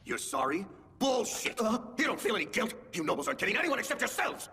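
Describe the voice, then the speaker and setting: An actor playing an excitable, bitterly aggrieved man in an anime dub, speaking in emotional outbursts. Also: sits high, quick pace, long reverb tail, a little hiss or hum, full character voice. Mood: outraged, anguished.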